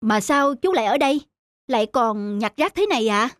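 A man's voice: very high-pitched, lively intonation, moderate pace, a bit bright. An actor playing a composed young businessman husband in a cartoon, courteous and earnest in manner. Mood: respectful, surprised. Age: young adult. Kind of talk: cartoon voice acting. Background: faint music.

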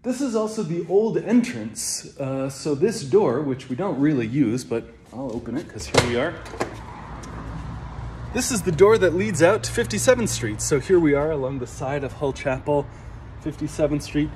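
Man in his thirties, minister, slightly high voice, relaxed walking tour, phone at arm's length. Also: normal speed, a little hiss or hum. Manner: chatty, relaxed tour-guide talk while walking. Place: old stone church building, indoors and on a roof. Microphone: phone held at arm's length.

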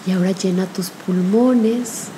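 A woman leading a guided spiritual meditation in a gentle, soothing manner with long pauses. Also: mid-range voice, a little hiss or hum, rough recording.

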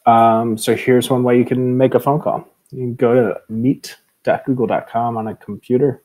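A middle-aged man giving a casual screen tutorial in a halting, informal way, on an earbud microphone at home. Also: mid-range voice, level tone, darkish tone. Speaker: tutorial presenter, educator. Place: room at home, indoors. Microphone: earbud headset microphone.

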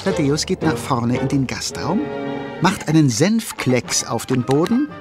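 A man's voice: mid-range voice, very animated, unhurried, over music. A man narrating a children's audio drama in a vivid, dramatic storytelling manner.

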